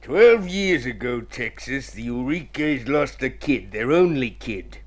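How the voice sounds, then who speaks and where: An actor playing a crooked, scheming consul in a golden age radio drama, theatrical, wheedling and pleased with himself. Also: male voice, medium pitch, animated, unhurried. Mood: sly, persuasive. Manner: wheedling, theatrical, self-satisfied, chuckling schemer. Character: scheming, crooked male consul, smooth-talking and gleeful.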